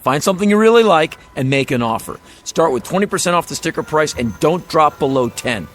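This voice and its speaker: Folksy, high-voiced used-car salesman. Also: lively intonation, fairly quick, a bit bright. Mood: blunt, exasperated. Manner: folksy sales patter, flustered, blunt. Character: fast-talking used-car salesman, helplessly blurting the truth. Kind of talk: old-time radio drama acting.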